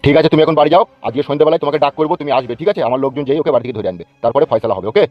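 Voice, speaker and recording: A man's voice: fairly high pitch, very fast, no room sound, dull audio, faint music behind, lightly acted. A man playing a bossy, crooked, foul-mouthed village politician in a cartoon comedy, blustering and commanding. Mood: scheming, commanding. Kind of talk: Bengali cartoon comedy dialogue.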